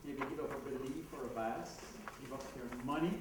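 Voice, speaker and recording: A man's voice: mid-range voice, steady tone, brisk. Jamaican man speaking in an echoey hall. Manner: conversational, laughs at his own asides. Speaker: conference speaker. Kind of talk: conference talk. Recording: echoey hall, mic heard from a distance, background noise.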